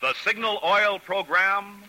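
A man's voice: high-pitched, slow pace, sharp tone, a little hiss or hum, rough recording. A man announcing an old 1940s radio programme with a polished salesman's delivery. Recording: old radio recording, faint music.

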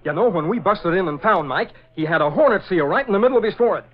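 Easygoing male sidekick: rapid, high, informal delivery, forties radio drama. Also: expressive. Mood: brisk, explaining. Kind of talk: 1940s radio acting. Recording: dull vintage radio recording.

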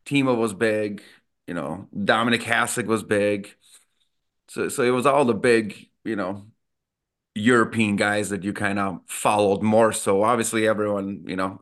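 A man's voice: on the low side, fairly bright tone. Easygoing man chatting casually. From Austria. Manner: easygoing, laughs often, reminiscing. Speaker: podcast co-host, former hockey player. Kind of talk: casual chat.

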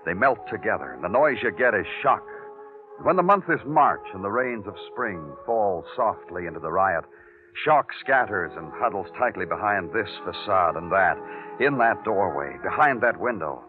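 A man acting a world-weary hardboiled detective in an old American radio crime drama, low and moody. He speaks with deliberate, poetic gravity on a tinny vintage recording. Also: expressive, music in the background, close to an everyday voice. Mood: brooding. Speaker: detective and narrator.

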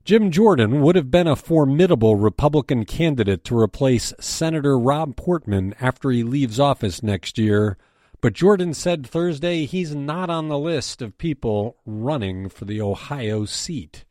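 An American man delivers a scripted news briefing in the role of editor and host. His pitch is animated and moves widely through each sentence. The sound is dry, with hardly any room to it. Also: measured pace, no room sound.

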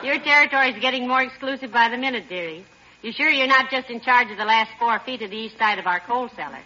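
An American actress playing a wry, skeptical, teasing wife in 1940s radio comedy, with a dry needling manner. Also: female voice, on the high side, fast talker, crowd noise, background noise, natural voice. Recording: dull, lo-fi 1940s radio recording.

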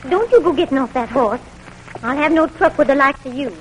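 A woman's voice: high-pitched, animated, brisk, low-quality recording. Proud, prickly young woman. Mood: indignant, haughty. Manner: haughty, indignant. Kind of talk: old-time radio drama acting. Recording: old radio recording, faint noise.

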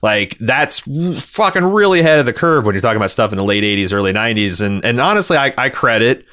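A middle-aged American man from Indiana co-hosting a podcast, talking casually and candidly in halting, rambling runs with some swearing. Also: mid-range voice, animated, pushed and bright. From Indiana, United States. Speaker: podcast co-host.

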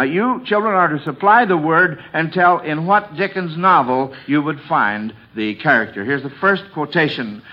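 A man hosting a 1940s radio quiz show, coaxing children along in an avuncular way and often repeating himself. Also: on the high side. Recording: dull, band-limited vintage radio recording.

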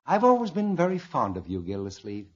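An old, dull-sounding radio comedy recording of an absent-minded elderly grandfather. His voice is husky and mid-pitched, mild and plain-spoken, with a vague, blunt manner. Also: huge pitch swings, a little fast. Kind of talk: 1940s-50s radio comedy acting.

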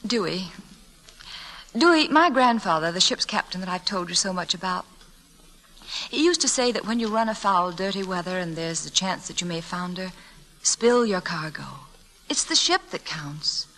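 An actress playing a gentle, earnest woman schoolteacher in theatrical, sentimental 1940s radio drama style. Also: on the low side, animated, moderate pace, rough recording. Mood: earnest, consoling. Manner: tender, earnest, theatrical delivery.

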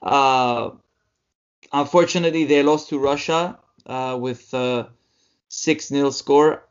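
A young man at an average pitch explains match results on a casual podcast, stopping often for fillers. The recording sounds dull and lo-fi. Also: smooth. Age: young adult. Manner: halting, many fillers. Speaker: podcast co-host. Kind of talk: casual sports chat. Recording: dull lo-fi audio.

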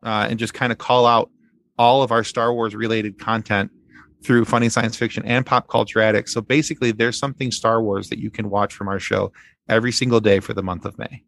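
Male podcast guest, deep with a creaky edge, earnest and effusive, casual delivery, dry close sound. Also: little pitch movement, brisk, fairly bright tone, dry recording.

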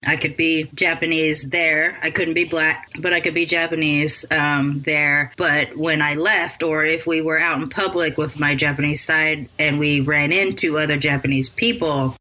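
A woman's voice: low voice, steady tone, moderate pace, few pauses, bright, forceful tone. A woman hosting a podcast interview, asking thoughtful, probing questions in a conversational way. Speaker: podcast host.

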